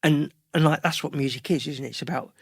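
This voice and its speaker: Middle-aged London male musician for relaxed interview chat, slightly high voice with gravelly fry, rambling anecdotal delivery. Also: quick pace, dry recording, clean studio sound. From London, England. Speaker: guest musician. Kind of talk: casual conversational interview.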